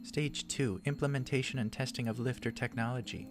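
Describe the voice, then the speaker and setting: Guy doing a serious young lady scientist in a game, pitched up, formal reading, faint music. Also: fairly even intonation, fairly quick, lightly acted. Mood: grave, formal.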